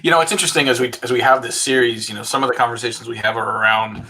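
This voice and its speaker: A man's voice: medium pitch, fairly even intonation, racing, pushed and bright, a little hiss or hum. A man hosting a business interview, talking conversationally and reflectively as he builds up to long questions. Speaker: interview host.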